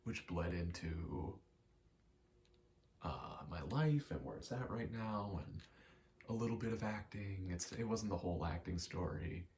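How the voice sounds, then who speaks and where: A man's voice: low-pitched, expressive, measured pace, a little mellow, a little hiss or hum. A young man giving a casual, self-deprecating ASMR ramble, swearing freely. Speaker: ASMR video creator.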